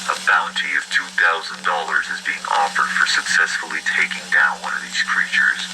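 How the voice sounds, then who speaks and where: A man reading a scripted news bulletin in a grave, formal, deadpan manner. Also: extremely low pitch, brisk. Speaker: news announcer in an analog horror video. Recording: noisy lo-fi broadcast-style audio. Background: loud noisy ambience.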